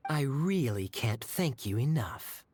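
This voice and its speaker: An actor playing a suave, teasing young cavalry captain in a video game, wry and theatrically courteous. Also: male voice, mid-range voice, big pitch swings, slow, music in the background. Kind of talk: video game character voice acting.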